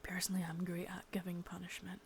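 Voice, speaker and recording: A woman voicing a sadistic, menacing female boss in an ASMR roleplay, whispering softly with spoken threats between. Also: lowish voice, completely flat delivery, fast talker, a little hiss or hum, natural voice. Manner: taunting and threatening.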